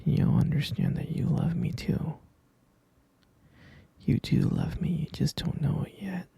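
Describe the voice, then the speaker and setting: A man voicing an obsessive, sweetly possessive kidnapper in an ASMR roleplay, talking in a soft, clingy, soothing whisper that keeps insisting. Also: fairly high pitch, steady tone, unhurried, vocal fry, slightly rough, no room sound, exaggerated voice.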